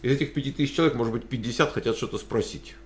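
A man's voice: mid-range voice, very fast, slight background noise. A man interviewing a guest over a video call, asking questions hesitantly with many filler sounds and restarts. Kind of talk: casual interview.

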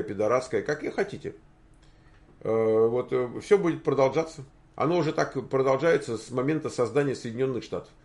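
A man in his sixties giving an informal, argumentative commentary, posing rhetorical questions and stammering through halting restarts. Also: medium pitch, vocal fry, darkish tone. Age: 60s. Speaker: streamer answering viewer comments.

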